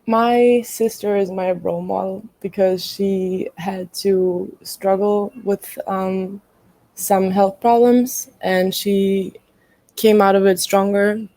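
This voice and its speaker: Young woman from greece, hesitant with frequent ums, mellow, dry room. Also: mid-range voice, flat delivery, takes it slowly, no echo, low-quality recording. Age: young adult. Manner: hesitant, many ums, laughs often. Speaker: college volleyball player. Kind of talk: casual interview answers.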